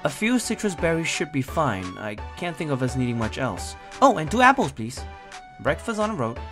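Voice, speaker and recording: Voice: medium pitch, sing-song, normal speed, over music, natural voice. A performer playing an earnest, cautious young creature sidekick in an audio drama, speaking politely and chattily. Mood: friendly, polite. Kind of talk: audio drama character acting.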